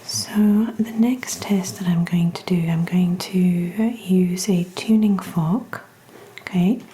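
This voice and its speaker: Casting: woman as calm ASMR ear-exam clinician, whispery and mellow, very unhurried, gentle and reassuring. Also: on the low side. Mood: gentle, soothing. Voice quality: breathy, whispery. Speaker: ASMR performer. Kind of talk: soft-spoken ASMR roleplay.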